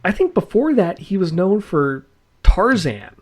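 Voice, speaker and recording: Lively, slow-talking man chatting casually. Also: high voice. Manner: enthusiastic, rambling, laughs often. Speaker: podcast host. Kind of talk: casual podcast chat.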